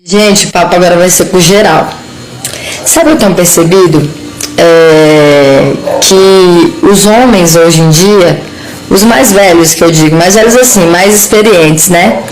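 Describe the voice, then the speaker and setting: Unhurried woman explaining to viewers. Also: on the low side, poor audio. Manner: unhurried, explanatory, asks rhetorical questions. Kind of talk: casual chat to camera.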